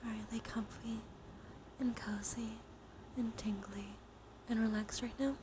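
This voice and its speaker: A woman leading a guided ASMR relaxation, speaking softly and mostly in whispers, with a soothing, gentle manner. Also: a little high, steady tone, unhurried. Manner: soft, mostly whispered delivery. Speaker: live streamer leading relaxation exercises. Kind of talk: ASMR guided breathing and body scan with chat asides. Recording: clear background noise.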